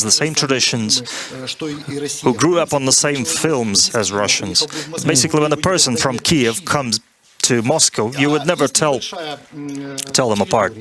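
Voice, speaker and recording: A man interpreting a guest's answers in a measured way, with small restarts. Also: mid-range voice. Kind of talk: consecutive interpretation.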